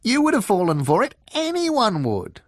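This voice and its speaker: A man voicing a sly, breezy trickster fox with a bright, smooth, evasive way of talking in a storybook. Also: high voice, huge pitch swings, normal speed, no echo, clean studio sound, voice pushed up, heavily acted. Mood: defensive. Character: glib, sly male trickster fox, breezy and self-justifying.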